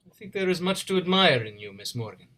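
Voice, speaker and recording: Man acting eccentric immigrant inventor, highish swooping pitch, courteous, clipped, period stage drama. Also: big pitch swings, fast, sharp tone. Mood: formal, admiring. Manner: clipped phrasing with dropped articles, courteous. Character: eccentric, formal immigrant male scientist, earnest and awkward. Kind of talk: play reading, period drama. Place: indoors, remote online reading. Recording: video call.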